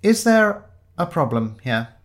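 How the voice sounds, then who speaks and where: A man's voice: on the high side, very animated, unhurried, voice pushed up. A man voicing a curt, exasperated female police inspector in a story, with a dry, firm manner. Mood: weary authority.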